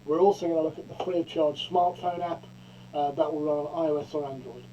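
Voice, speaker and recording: Very dark-voiced man, halting tutorial delivery. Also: on the high side, steady tone, lo-fi sound, slight background noise. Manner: halting, often stammers and repeats words. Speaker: presenter of a software tutorial. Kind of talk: informal product demonstration.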